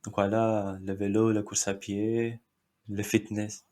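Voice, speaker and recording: A man's voice: lowish voice, little pitch movement, slow, dark tone. A man in his thirties from India, whose first language is Marathi, chatting as a podcast guest with many fillers and short laughs. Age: 30s. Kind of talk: casual interview chat.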